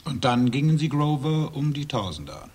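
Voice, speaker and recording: An actor playing a probing police interrogator in a radio crime drama, pointed and sceptical. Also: male voice, mid-range voice, sing-song, fairly quick, a little mellow, slightly rough.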